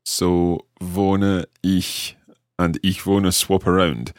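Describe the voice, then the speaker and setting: A man's voice: sits low, steady tone, dry recording, clean studio sound. A man co-hosting a language lesson as the learner, carefully trying out phrases. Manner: careful, tries phrases out slowly. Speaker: learner co-host.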